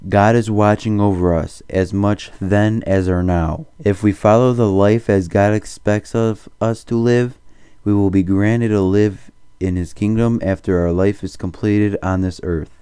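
Low-voiced man narrating solemn propaganda film. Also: fairly even intonation, measured pace, warm tone, smooth, no echo. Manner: solemn, preachy, formal. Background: music.

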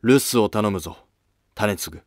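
A man's voice: fairly low pitch, measured pace, dry recording, studio quality, natural voice. A man playing a stern, sorrowful emperor of ancient Japan, speaking in solemn, commanding archaic phrases for a history drama.

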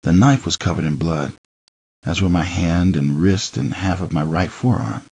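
A man reading a first-person memoir as an audiobook narrator, theatrical, swinging between hushed and heated delivery, with a husky, gravelly voice. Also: low voice, normal speed, dry recording.

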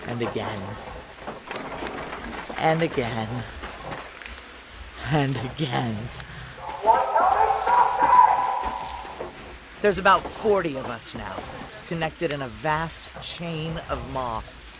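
A hardened woman soldier turned part alien, narrating a science fiction audio drama. She speaks slowly and deliberately, with awe and intensity. Loud, sizzling effects surround her voice. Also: a bass voice, animated, very noisy, heavily acted. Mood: ecstatic awe. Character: tough woman soldier merged with alien moss, awed and exultant.

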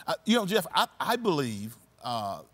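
A man who is a pastor, hosting a podcast and speaking in a measured, affirming way. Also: fairly high pitch, big pitch swings, fairly bright tone. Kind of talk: conversational interview.

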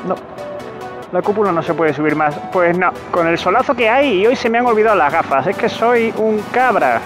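A man with a fairly high voice rides a motorbike through city streets, talking casually about the bike and laughing at himself. He is picked up by a helmet camera mic, with clear background music under the talk. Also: expressive, fairly quick. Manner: chatty, joking, laughs at himself. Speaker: motovlogger. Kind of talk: casual motorcycle review while riding. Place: outdoors riding a motorcycle in city traffic.

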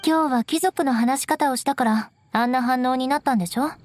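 An actress playing a proud, stoic young noblewoman knight in an anime game, speaking in a composed, haughty, measured way. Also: high-pitched, soft background music, close to an everyday voice. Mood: calm, resigned. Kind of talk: Japanese anime game voice acting.

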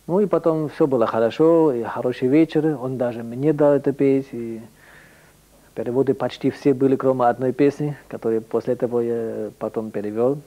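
German man speaking halting Russian. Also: mid-range voice, fairly even intonation, dark tone, rough recording. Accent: German, with a Caucasian tinge. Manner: halting, searching for Russian words. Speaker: interview guest. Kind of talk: storytelling in conversation. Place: home living room with bookshelves.

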